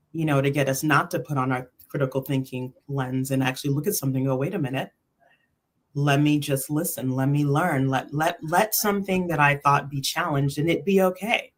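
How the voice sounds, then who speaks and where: Low-pitched woman in casual panel chat. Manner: emphatic, acts out her own thoughts as quoted dialogue. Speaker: panelist on a spiritual talk livestream.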